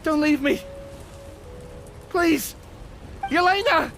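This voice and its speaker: A man's voice: very high-pitched, extremely slow, vocal fry, fairly bright tone, soft background music, full character voice. An actor playing a grief-stricken, desperate man in a game, pleading for his lost love. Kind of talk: dramatic game voice acting.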